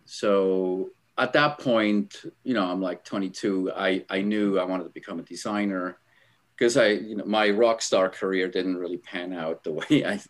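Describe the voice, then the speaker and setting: A middle-aged man from Switzerland tells stories on a podcast in a rambling, easygoing way. His voice sits on the low side, and he fills his sentences with "you know" and "um". Now and then he breaks into short laughs. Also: normal speed. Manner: rambling, filler-heavy, laughs while telling stories. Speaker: guest, album art director. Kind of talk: casual interview storytelling.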